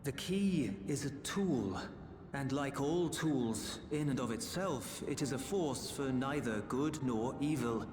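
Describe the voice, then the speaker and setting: An actor playing a solemn male sage in a video game, speaking in a grave, measured, formal manner. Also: mid-range voice, lively intonation. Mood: grave, cautioning. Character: solemn, sage male keeper of old knowledge. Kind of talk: dramatic game cutscene acting. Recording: echoey hall reverb, game music.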